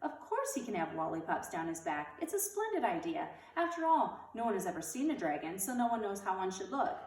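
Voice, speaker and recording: A woman reading a storybook, voicing a brisk, encouraging female art teacher with a firm, emphatic way of speaking. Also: mid-range voice, big pitch swings, darkish tone, close to an everyday voice. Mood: admiring, encouraging. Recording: roomy echo, distant mic.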